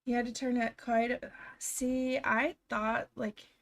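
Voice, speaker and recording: A woman's voice: fairly high pitch, level tone, takes it slowly. A young woman streaming from home, chatting casually to her viewers with a slightly scratchy, froggy voice from being sick, giggling often. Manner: chatty, giggly, talks to chat. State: hoarse, recovering from illness. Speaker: game streamer. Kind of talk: casual live stream chat. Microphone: desk microphone.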